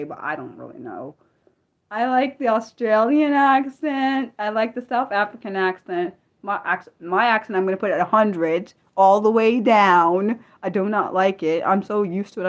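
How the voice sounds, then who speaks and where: An American woman hosting a casual livestream, rambling and laughing often, trailing off with self-deprecating asides and swinging from loud bursts to soft mumbles. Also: mid-range voice. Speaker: livestream host. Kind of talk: casual unscripted livestream chat, answering viewers.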